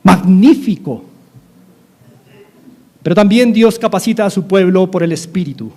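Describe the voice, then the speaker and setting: High-voiced Colombian preacher. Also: male voice, a little slow, mellow. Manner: earnest, repetitive exhortation. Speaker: pastor. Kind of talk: sermon. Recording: studio-like radio sermon.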